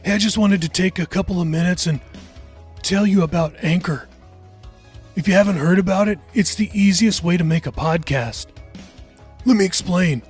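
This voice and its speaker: A man's voice: high-pitched, moderate pace, long pauses, darkish tone, slightly rough, soft background music. A man hosting a podcast, giving a chatty, informal, upbeat promo read. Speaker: podcast host.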